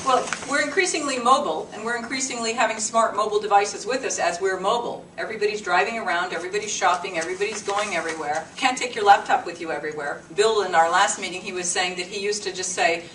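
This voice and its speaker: A woman's voice: mid-range voice, fast talker, few pauses, a bit bright. Roomy, distant woman presenting informally. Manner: conversational, explanatory. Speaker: presenter at a business meetup. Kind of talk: informal workshop presentation to a small group. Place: meeting room with a small group. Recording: roomy, distant mic with clear noise.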